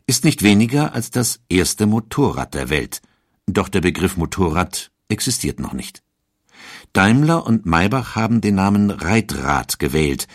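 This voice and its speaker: A man reading scripted documentary narration in a measured, storytelling manner. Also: low-pitched, no echo, studio quality. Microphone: studio microphone.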